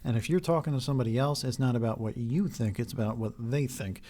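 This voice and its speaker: A man in his thirties hosting his own video, talking earnestly and haltingly with many fillers and restarts, his voice getting hoarse. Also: mid-range voice, dark tone. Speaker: video host. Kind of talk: earnest talk to camera.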